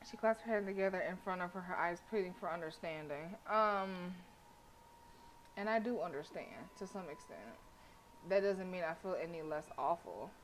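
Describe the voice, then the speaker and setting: A woman's voice: lowish voice, moderate pace, a little hiss or hum, rough recording. A woman streaming a game, reading story text aloud with blunt, sassy, casual asides. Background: game music.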